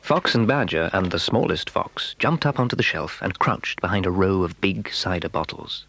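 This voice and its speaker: A man narrating a children's story with hushed, dramatic suspense. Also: sits low, gravelly, heavily acted, low-quality recording.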